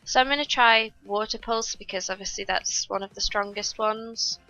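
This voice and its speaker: Bright-voiced woman narrating her gameplay. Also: medium pitch, moderate pace, no echo, lo-fi sound, soft background music. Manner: chatty, explains each step to viewers. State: recovering from a cold. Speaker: gaming YouTuber. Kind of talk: casual gameplay commentary.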